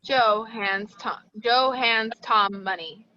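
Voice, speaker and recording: A woman's voice: a little high, takes it slowly, sharp tone, highs cut off. A woman reading screenplay action lines as scripted narration. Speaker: stage directions reader.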